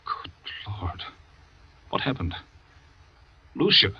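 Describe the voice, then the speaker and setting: A man's voice: slow, no room sound, natural voice. An actor playing a man accused of poisoning his missing wife, sounding flustered and defensive in old-time radio drama acting. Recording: dull dry old radio recording.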